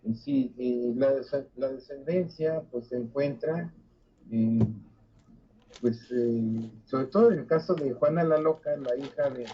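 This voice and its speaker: An older man giving a university lecture, halting with frequent fillers and restarts. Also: medium pitch, mellow, husky. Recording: dull lo-fi recording.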